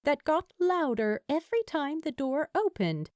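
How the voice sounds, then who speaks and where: Female story narrator, slow pace, lively intonation, dry close sound. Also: high-pitched, dry recording. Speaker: audiobook-style fan fiction narrator.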